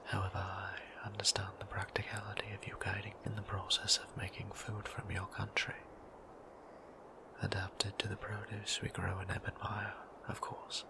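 A man voicing a gentle, formal male vampire priest in an ASMR roleplay, whispering with courtly, old-fashioned politeness. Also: on the low side, monotone, normal speed, natural voice, rough recording. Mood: tender.

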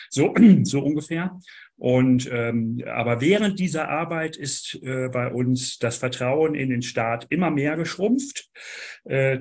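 A middle-aged man speaking matter-of-factly for his association on a video call, with fillers and the odd throat clearing. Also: medium pitch. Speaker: association representative. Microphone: laptop or webcam mic on a video call.

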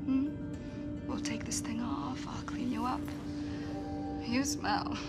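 A woman's voice: a little high, steady tone, takes it slowly, loud music behind the voice. An actress playing a devoted, emotional woman in a video game drama, earnest and tender with her soldier lover. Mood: tender, pleading. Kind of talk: video game cutscene acting.

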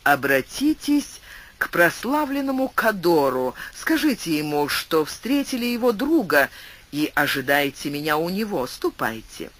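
Man playing a courteous wanderer, expressive intonation. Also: on the high side, unhurried, exaggerated voice. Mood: reassuring. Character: sorrowful, courteous male wandering philosopher hero.

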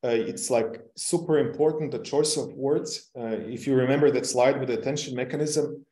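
A middle-aged man who is not a native English speaker, explaining technical things informally and haltingly on a video call. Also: mid-range voice, fairly even intonation, warm tone. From non-English-speaking country, long resident in Canada. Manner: hesitant, halting, searching for words. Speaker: webinar presenter, technical expert. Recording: roomy, echoing webcam call.

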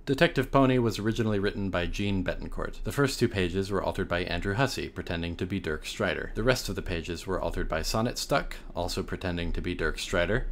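A man reading out scripted audiobook credits as an announcer. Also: sits low. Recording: clear background noise.

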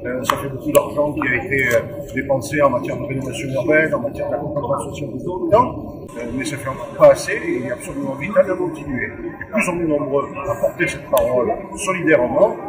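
A man in his sixties, a mayor, making an earnest, halting statement to camera at a busy indoor trade fair. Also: a little high. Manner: earnest, hesitant with many fillers. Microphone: camera close up. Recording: distant mic, loud crowd behind.

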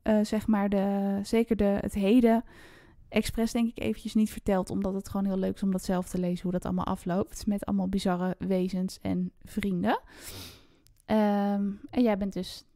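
A woman's voice: mid-range voice, level tone, normal speed, warm tone, dry recording, clean studio sound. A woman co-hosting a podcast in a casual book chat. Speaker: podcast co-host.

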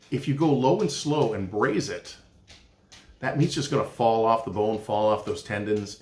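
A middle-aged man, a chef giving an informal, folksy cooking demonstration and explaining as he goes. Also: fairly low pitch. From Canada. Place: small indoor room with hard walls. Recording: echoey room.

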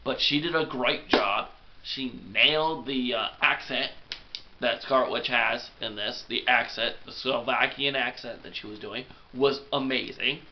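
Excitable young man with a cold. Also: mid-range voice, measured pace, muffled recording, slight background noise. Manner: excitable, rambling, self-deprecating. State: congested with a cold. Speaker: movie review vlogger. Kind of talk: casual rambling film review. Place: small bedroom at night.

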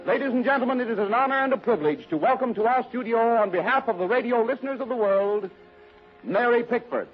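A man's voice: extremely high pitch, quick pace, muffled recording, over music. A man announcing formally in the style of 1930s American radio, on an old broadcast recording.

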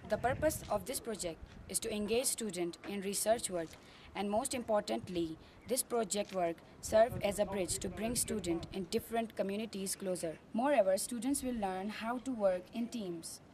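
A woman's voice: medium pitch, moderate pace, darkish tone, a little hiss or hum. A teenage girl presenting her school project outdoors, in an earnest, memorized delivery. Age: teens. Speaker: student presenter. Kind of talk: recited presentation. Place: outdoors on a mountain terrace.

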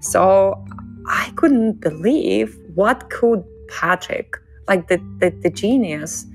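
A young woman from Siberia with a Russian accent, speaking earnestly in an interview and pausing to search for words. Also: medium pitch, expressive, creaky, a little mellow, soft background music. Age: young adult. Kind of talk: interview excerpt.

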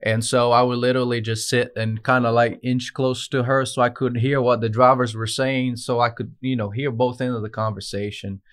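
A man in his thirties from Brazil with a Brazilian Portuguese accent, a guest telling his story in a studio interview with many "uh" pauses. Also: mid-range voice, flat delivery, fairly bright tone, clean tone. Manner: earnest, with frequent "uh" and "um" pauses. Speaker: guest, dispatch manager. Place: podcast studio with branded backdrop. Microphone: desk podcast mic on a stand.

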